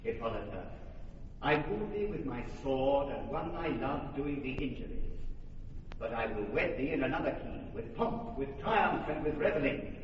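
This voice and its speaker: Man playing a duke, calm and bossy, far away in a big echoey hall. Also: mid-range voice, dull audio, a little hiss or hum, close to an everyday voice. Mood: stately. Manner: measured, authoritative verse speech. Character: commanding duke passing judgment. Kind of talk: old radio verse drama. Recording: distant echoey old radio recording.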